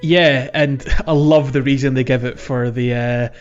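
A man's voice: medium pitch, steady tone, moderate pace, smooth. A man co-hosting a podcast, chatting casually about gaming in a hesitant, rambling way. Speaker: podcast co-host. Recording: dull lo-fi audio, music behind.

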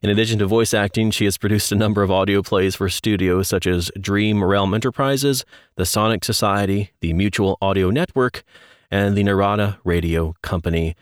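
A man's voice: on the low side, normal speed, clear, resonant tone, dry recording, studio quality. A man co-hosting a podcast, chatting and interviewing in a conversational way.

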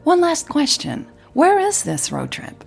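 A woman voicing a curious, wry female tech blogger in an audio drama, with a husky, breathy voice and a questioning manner. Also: sits high, wildly swooping pitch, measured pace, soft background music, pitched above the performer's own voice, full character voice.

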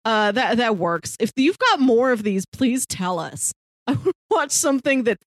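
A woman's voice: a little high, very animated, normal speed, no room sound, studio quality. A woman co-hosting a casual movie chat, talking like an enthusiastic fan with wry, playful asides. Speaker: podcast co-host.

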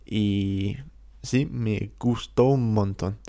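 A man's voice: lowish voice, steady tone, very slow, creaky, warm tone. A man from London with an English accent, a native English speaker chatting casually in learned Spanish, easygoing with hesitant fillers. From England, London. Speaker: co-host. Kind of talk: casual conversation.

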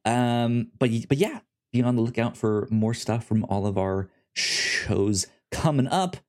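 A man's voice: mid-range voice, unhurried. A man co-hosting a casual podcast, chatty, often stumbling and restarting his words. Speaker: podcast co-host.

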